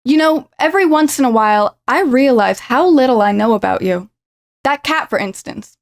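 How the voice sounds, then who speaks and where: A woman plays a wry Satanist roommate in her late twenties for an audio drama. Her voice sits fairly high and her delivery is chatty and sardonic. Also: lively intonation, normal speed. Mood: puzzled, probing.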